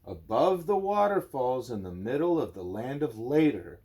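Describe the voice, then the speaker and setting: A man reading a storybook aloud to a child as a parent, expressively and with drawn-out phrases. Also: medium pitch, sing-song, dark tone, husky.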